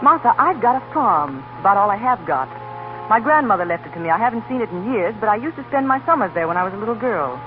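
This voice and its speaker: A woman's voice: medium pitch, sing-song, fast, narrow, tinny audio, over music, natural voice. An actress playing an impulsive, sardonic young woman graduate in a 1930s radio drama, on a scratchy old broadcast recording. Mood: eager, urgent. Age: young adult.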